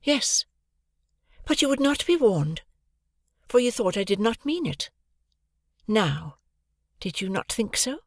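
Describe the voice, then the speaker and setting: Cold proud young woman, read by a man, calm measured delivery, dry close sound. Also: no echo, exaggerated voice. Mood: cool, detached. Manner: calm, measured, high and light.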